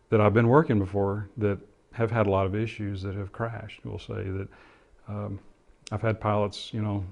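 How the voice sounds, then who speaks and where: Low-pitched, halting middle-aged man. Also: a little mellow, highs cut off. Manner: halting, reflective, explanatory. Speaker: interviewee, former air traffic controller and pilot. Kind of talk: interview testimony, recollection.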